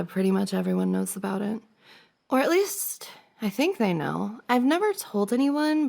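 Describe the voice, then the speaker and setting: Woman acting as a giggly teasing girlfriend-to-be, slow n soft asmr roleplay, really creaky, kinda high voice. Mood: sheepish, curious. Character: giggly, teasing young woman confessing to her crush. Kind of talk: intimate ASMR roleplay, acted as one side of a conversation.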